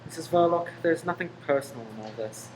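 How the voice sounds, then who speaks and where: A man's voice: a little high, fast talker, reverberant, natural voice, low-quality recording. A man playing an earnest, conflicted undercover police detective, pleading in a radio play. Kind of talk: amateur radio play acting. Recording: faint background noise.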